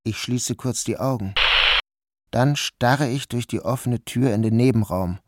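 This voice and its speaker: An actor narrating as a guilt-ridden young man in a literary radio play, in a subdued, measured way. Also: medium pitch, a little mellow, dry recording, clean studio sound, lightly acted.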